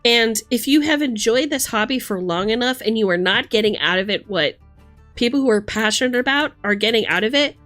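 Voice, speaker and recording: A woman's voice: a little high, expressive, brisk, a bit bright, soft background music. A woman hosting a livestream, talking casually and emphatically with plenty of swearing as she rants and chats with commenters. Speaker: livestream host.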